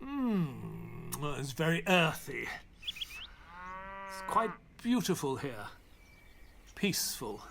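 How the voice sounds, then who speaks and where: A man's voice: a little high, big pitch swings, takes it slowly, creaky, long pauses, fairly bright tone, full character voice. An actor playing an earnest, weary male companion to a detective, questioning and reflective, in an audio play. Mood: mellow, curious. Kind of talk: audio play acting and narration.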